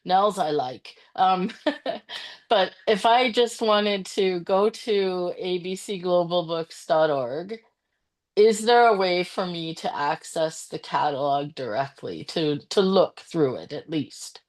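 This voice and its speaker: A woman's voice: on the low side, slow pace. A woman from Canada asking a question from the audience at a conference, hesitant and correcting herself as she goes. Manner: hesitant, self-correcting, with restarts.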